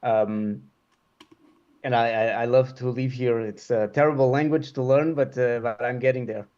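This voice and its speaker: A middle-aged man from Portugal talks in a relaxed interview, stopping and restarting often and filling the gaps with ums. His voice sits at an ordinary pitch. The sound comes over a video call and is slightly dull. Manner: halting, many fillers and restarts. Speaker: guest printmaker. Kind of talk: casual interview talk.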